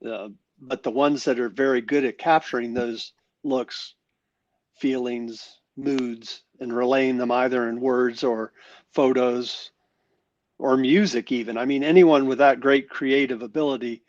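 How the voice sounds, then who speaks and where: A man guest on a podcast, telling stories in a relaxed conversational way. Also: mid-range voice, a little slow, dry recording. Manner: anecdotal storytelling, conversational. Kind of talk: casual conversation. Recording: dull lo-fi sound.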